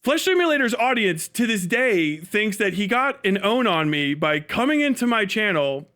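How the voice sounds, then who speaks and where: A man's voice: high-pitched, very animated, normal speed, bright, forceful tone. A man doing casual, profane live stream commentary, mocking and emphatic, repeating himself for effect. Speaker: live streamer reacting to a video.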